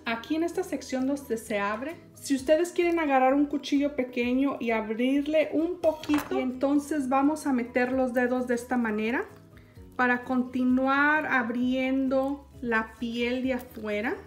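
A high-pitched woman talks viewers through a recipe in a calm, step-by-step way. Clear background music plays under her voice, with dishes and pans clinking in the kitchen. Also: measured pace. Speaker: cooking show host. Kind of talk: home cooking demonstration.

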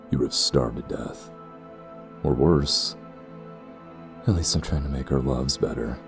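A man's voice: very deep voice, a little mellow. A man playing a cold, condescending and ruthless warrior clansman in an audio roleplay, speaking in a haughty, formal way. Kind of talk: scripted audio roleplay acting.

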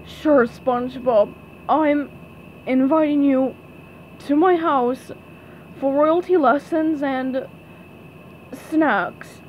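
A woman's voice: high voice, very animated, unhurried, darkish tone, a little hiss or hum, low-quality recording. A woman voicing a snobbish, gloomy male squid from a cartoon storybook, haughty and easily exasperated. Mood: reluctant. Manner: haughty, condescending.

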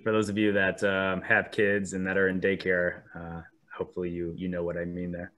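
A man's voice: deep voice, completely flat delivery, brisk, a bit bright, highs cut off. A man with a cold, sounding a bit sniffly, presenting a webinar over a video call with frequent ums and restarts. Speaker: 3D printing specialist presenting a webinar.

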